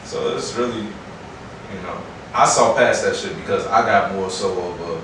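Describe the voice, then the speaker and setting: A man's voice: on the low side, unhurried. A man from Chicago with an American accent talking casually and candidly in slangy language as an interview guest. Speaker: interview guest, rapper and producer. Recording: very echoey, distant mic, noisy background.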